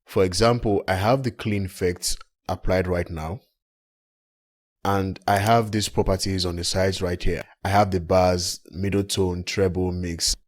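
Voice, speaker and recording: A man with a deep, husky voice narrates a software tutorial. He explains each step plainly, as an instructor would over a screen recording. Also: fairly even intonation, unhurried. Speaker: tech tutorial presenter.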